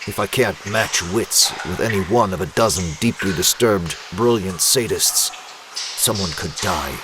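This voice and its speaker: An actor playing a brooding, obsessive masked vigilante who is unraveling, in an intense, urgent comic-book drama delivery. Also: male voice, low-pitched, measured pace, background noise.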